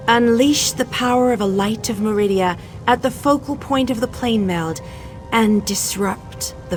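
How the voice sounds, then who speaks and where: An actress voicing an imperious, ancient goddess in a fantasy game, haughty, commanding and coldly regal in her delivery. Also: on the high side. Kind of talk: dramatic fantasy game dialogue. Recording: studio voice under prominent music.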